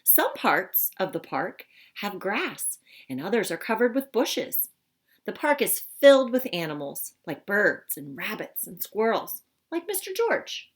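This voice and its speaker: Animated woman reading a children's storybook. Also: mid-range voice, a little slow. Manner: expressive, teacherly, explains the pictures simply. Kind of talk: children's read-aloud with explanatory asides.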